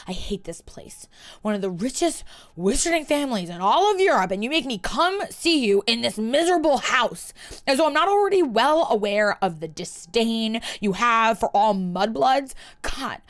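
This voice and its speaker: A woman voicing a distraught, drunk young witch in a story reading, with shrill, emotional outbursts. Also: expressive, unhurried. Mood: furious. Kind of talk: reading a fan story aloud.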